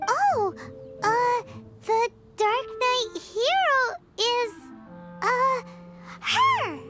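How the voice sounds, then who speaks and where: A woman voicing a small, childlike, cheeky and talkative fairy companion in a video game, squeaky and theatrical. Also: sing-song, extremely slow, over loud music, heavily acted. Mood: flustered. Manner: exclamatory, theatrical, refers to self in third person. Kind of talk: video game character acting.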